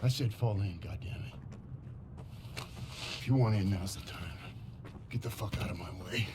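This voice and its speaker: An actor playing a gruff, hard-bitten World War II army sergeant in a video game, commanding and curt. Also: male voice, on the low side, moderate pace, close to an everyday voice. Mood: irritated, stern. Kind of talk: dramatic video game acting. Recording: very loud music and vehicle noise.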